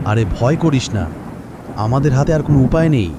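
Man acting a bright-voiced, earnest but not shrill poor fisherman. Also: animated, brisk, noisy background, close to an everyday voice. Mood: weary, fearful. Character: poor, anxious village fishermen and their boss. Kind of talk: cartoon voice acting.

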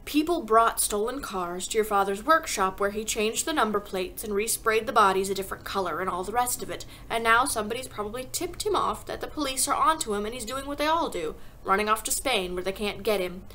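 Storybook schoolteacher, voiced by a woman reader: tender, composed and frank, measured delivery with a bright edge. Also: mid-range voice, lightly acted. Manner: quiet, measured, gentle.

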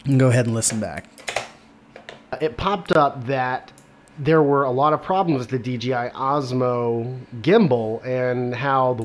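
Casual man hosting an editing tutorial. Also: medium pitch. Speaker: video editing tutorial host. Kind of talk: casual software tutorial narration.

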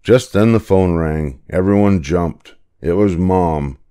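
A man's voice: sits low, slow, mellow, smooth. An older man reading a children's picture book aloud as narrator. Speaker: storybook reader.

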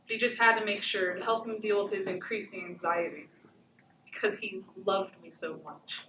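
Battered wife teenage woman acting, haunted, self-blaming, stage monologue, bright forceful voice, distant mic, some background noise. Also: a little high. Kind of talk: high school stage monologue. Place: indoor theatre stage. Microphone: far camera. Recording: echoey noisy theatre, distant microphone.

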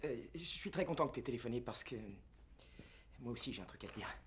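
An actor with a French accent playing an awkward, eager young student in a dubbed comedy film. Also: male voice, medium pitch, animated, fast, a bit bright, slight background noise, close to an everyday voice. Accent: French (France). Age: young adult.

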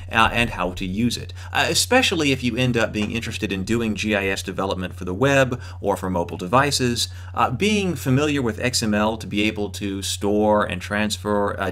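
Low-voiced man explaining, halting delivery. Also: a bit bright. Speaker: online course instructor. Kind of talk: explanatory lecture.

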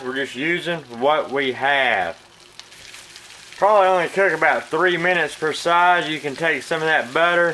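A bright, forceful Southern American man in his thirties talks viewers through a home cooking job in a relaxed, folksy way. His voice sits a touch high for a man. It is picked up by a phone in a home kitchen. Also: slight background noise, low-quality recording. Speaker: cooking channel host. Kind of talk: casual cooking demonstration. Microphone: phone camera.

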